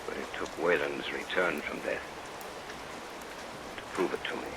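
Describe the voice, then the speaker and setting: A man's voice: very deep voice, steady tone, a little slow. A man playing a stubborn, troubled middle-aged father and scientist in a vintage radio play, authoritative and melodramatic. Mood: somber. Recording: noisy vintage radio recording under rain.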